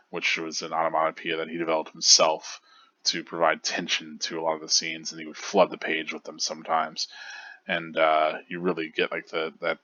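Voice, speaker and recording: Low-voiced man rambling through manga commentary. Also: sharp tone, dry recording, highs cut off. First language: not Japanese. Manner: rambling, hesitant, many fillers and restarts. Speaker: video essayist. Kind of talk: casual commentary on manga pages.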